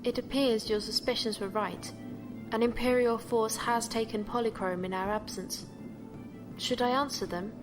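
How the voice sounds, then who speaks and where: Actress as composed spaceship computer, female, higher pitch, measured, formal, audio drama, clear music underneath. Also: fairly even intonation, lightly acted. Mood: composed, factual. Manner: formal, precise, measured, avoids contractions. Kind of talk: science fiction audio drama acting. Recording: music behind the voice.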